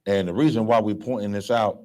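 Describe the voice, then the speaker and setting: A man's voice: on the low side, steady tone, a little fast, warm tone. A man, leading a scripture class in a casual, coarse way and quizzing his listeners with "right?". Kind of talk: street-style scripture lecture.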